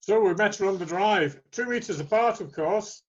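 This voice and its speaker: A man's voice: sits high, moderate pace, lo-fi sound. A man playing a wry, jokey older friend in an amateur comedy sketch.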